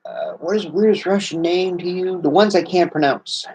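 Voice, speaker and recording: A man in his fifties from the United States, chatting casually on a home livestream, relaxed and halting with frequent restarts, seated close to a webcam. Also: high-pitched, breathy edge. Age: 50s. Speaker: livestream host answering viewer questions. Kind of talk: casual live Q&A chat. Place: small room at home, seated in armchair indoors. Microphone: webcam at close range. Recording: slightly dull lo-fi webcam audio.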